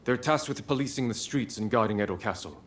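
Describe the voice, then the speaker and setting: An actor playing a composed military commander in a video game cutscene, giving orders in a serious, direct way. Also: male voice, mid-range voice, quick pace, slight background noise, natural voice. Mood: grave.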